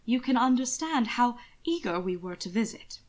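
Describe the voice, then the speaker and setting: A woman's voice: a little high, lively intonation, moderate pace, darkish tone. A woman voicing a cunning aristocratic lady in an audiobook story, cool, formal and falsely innocent. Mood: smooth.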